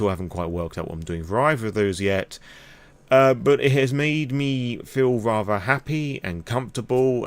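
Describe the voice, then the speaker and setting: A British man talking casually and candidly in an impromptu vlog, rambling with self-deprecating asides and some swearing. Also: fairly low pitch. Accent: British English. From England. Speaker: YouTube vlogger on tabletop roleplaying games.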